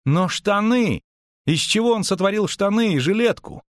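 Excitable bard, male narrator acting: high-pitched, astonished, theatrical, flippant, fantasy audiobook. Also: moderate pace, dry recording, studio quality. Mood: amazed. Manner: exclamatory, astonished.

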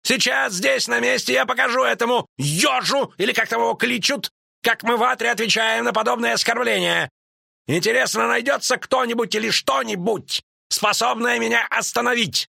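A man voicing a proud, defiant knight in a fantasy audiobook, shouting and declaiming as he demands a duel. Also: extremely high pitch, moderate pace, vocal fry, no echo, studio quality, pitched above the performer's own voice, exaggerated voice. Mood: indignant, challenging.

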